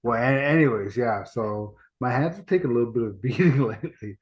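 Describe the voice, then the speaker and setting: A man's voice: medium pitch, animated, fairly quick, over a noisy crowd. A middle-aged man co-hosting a casual podcast with his daughter, cracking dad jokes and laughing often. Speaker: podcast co-host, trainer. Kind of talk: casual chat. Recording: dull lo-fi online audio.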